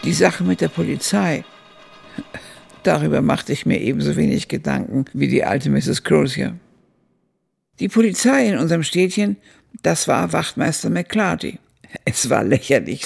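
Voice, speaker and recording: An elderly woman looking back on her youth. Her voice is very creaky and husky, and she narrates a literary radio play in a measured, reflective manner. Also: sits low, darkish tone, heavily acted. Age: older. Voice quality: gravelly, husky.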